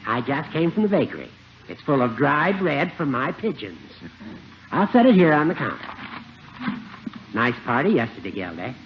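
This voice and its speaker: An actor playing a breezy, sociable American judge, an old friend of the family, in a 1950s radio comedy. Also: male voice, medium pitch, very animated, moderate pace, long pauses, lightly acted. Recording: muffled old radio recording, faint noise.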